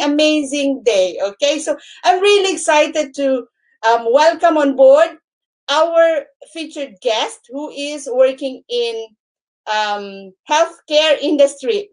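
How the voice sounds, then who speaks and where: A woman with a Filipino accent hosting a livestream, effusively introducing and repeating words for emphasis. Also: high voice. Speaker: livestream host.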